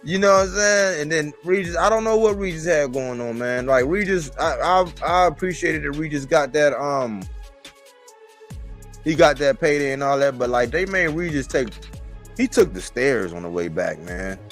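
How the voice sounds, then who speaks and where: Higher-pitched man chatting casually about sports. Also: lively intonation, moderate pace, faint music behind. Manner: conversational, colloquial, repeats phrases for emphasis. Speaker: boxing commentator on a live stream.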